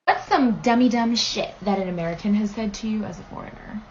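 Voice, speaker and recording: A woman host repeating a question prompt to camera in a flat, steady way. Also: mid-range voice, fairly quick. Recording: dull lo-fi audio with faint noise.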